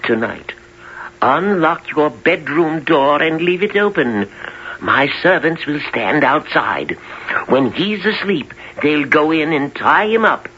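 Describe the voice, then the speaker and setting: A man playing a fussy, scheming king and father in a radio fairy tale, pompous and deliberate, hemming as he goes. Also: fairly high pitch, lively intonation, bright, forceful tone, husky, heavily acted. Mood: indignant, scheming. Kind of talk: 1970s old-time radio drama acting. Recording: muffled 1970s radio recording.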